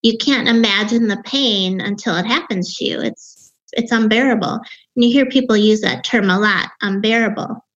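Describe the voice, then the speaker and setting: Woman, 50s, Wisconsin accent, young-sounding, highish voice, reflective, dull lo-fi recording. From Wisconsin, USA. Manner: reflective, with halting restarts. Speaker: author and grief guest. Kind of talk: personal storytelling in an interview.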